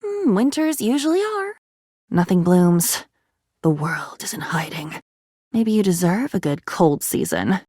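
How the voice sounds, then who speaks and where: Slow, wounded living diary. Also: female voice, on the low side, sing-song, a little mellow, dry recording, studio quality. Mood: cold, resigned. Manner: halting, theatrical, emotional delivery that dips toward whispers. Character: living diary newly self-aware, wounded, melancholy, poetic, defiant. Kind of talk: dramatic video game character monologue.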